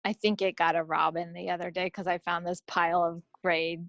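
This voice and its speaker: A woman's voice: on the low side, little pitch movement, fairly quick, creaky, fairly bright tone, dry recording, lo-fi sound. A woman co-hosting a podcast, telling everyday stories in casual, chatty style. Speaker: podcast co-host. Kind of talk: casual chat.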